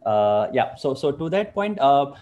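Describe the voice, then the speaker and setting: A man's voice: medium pitch, big pitch swings, unhurried, warm tone, dull audio. A man in his thirties speaking as a panelist through wired earphones, earnest and full of fillers. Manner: earnest, filler-heavy with repeated "like, uh". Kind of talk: panel discussion over video call. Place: room with wooden cabinets indoors. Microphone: wired earphone mic.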